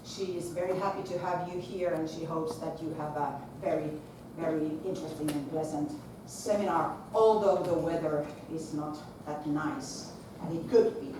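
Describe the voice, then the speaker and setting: A middle-aged woman with a Finnish accent, a school principal giving a talk in a large lecture hall without a microphone, projecting her voice in a lively, chatty way. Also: deep voice, normal speed. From Finland. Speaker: conference speaker, school principal. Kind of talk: presentation to an audience. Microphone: far camera. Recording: echoey lecture hall from far off, noisy.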